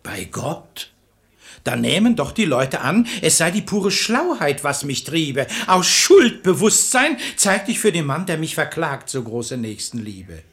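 Slow, swooping, sanctimonious hypocrite. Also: male voice, a little high, very animated, a bit bright, exaggerated voice. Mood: pious self-justification. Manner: unctuous, theatrical, plaintive, self-pitying. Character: Tartüff, sanctimonious scheming male hypocrite feigning pious suffering. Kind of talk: classical verse stage comedy as radio play.

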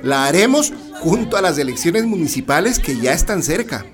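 An actor playing a haughty government official who talks in a formal, dismissive way. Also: male voice, fairly high pitch, very animated, normal speed, faint music behind, some chatter behind. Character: haughty male government official, ministry delegate.

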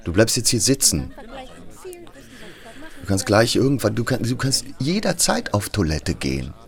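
A man's voice: on the low side, wildly swooping pitch, moderate pace, creaky, exaggerated voice. An actor playing a dismissive, controlling middle-aged man in a naturalistic radio drama, blunt and evasive.

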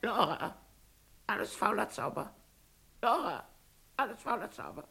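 Very creaky, sharp mocking voice. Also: female voice, medium pitch, big pitch swings, a little slow, heavily acted. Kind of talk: vintage German crime radio drama acting.

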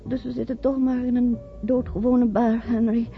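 High-voiced, pleading, frightened woman. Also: level tone, moderate pace, creaky. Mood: fearful, sad. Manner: pleading, emotional, earnest. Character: frightened, tender, lovelorn woman in a bar. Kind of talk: radio drama acting. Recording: dull old radio recording, faint music.

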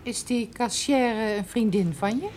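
An actress playing a detective's sceptical, weary female companion in a radio drama, wry and gently chiding. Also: on the high side, a little slow, slight background noise, close to an everyday voice.

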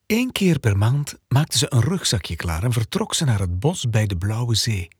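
A man narrating a children's radio play as a storyteller, playful and expressive. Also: mid-range voice, normal speed, breathy edge, no room sound, studio quality.

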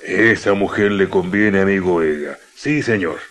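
An actor playing a warm, jovial Chilean miner in his fifties, talking in a folksy, colloquial way for a radio drama. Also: sits low. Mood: encouraging. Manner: folksy, drawn-out colloquial speech.

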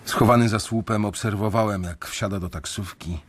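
An actor narrating as a young male bank analyst in a radio drama, measured and reflective. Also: lowish voice, steady tone, noisy background, close to an everyday voice.

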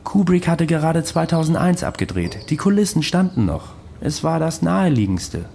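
An actor in a radio play playing an insistent, knowing conspiracy believer who explains confidently. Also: male voice, a little high, big pitch swings, normal speed, gravelly, mellow, slight background noise. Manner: confident, didactic.

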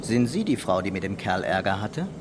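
An actor playing a calm, commanding police detective in a radio crime drama. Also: male voice, low voice, brisk, very noisy. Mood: patient. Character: brisk, authoritative male police detective, unflappable.

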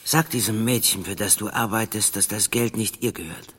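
A man's voice: on the low side, moderate pace, fairly bright tone, breathy edge. A man playing a smug, menacing gangster boss in a German crime radio drama, with oily, chummy, hesitant phrasing. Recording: long reverberant hall sound.

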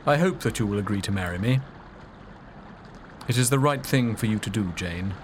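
A man's voice: fairly low pitch, expressive, normal speed, close to an everyday voice. A man playing a cold, stern, dutiful man in an audiobook, commanding and insistent, with faint noise behind him. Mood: cold, pressing. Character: cold, stern, dutiful clergyman demanding marriage.

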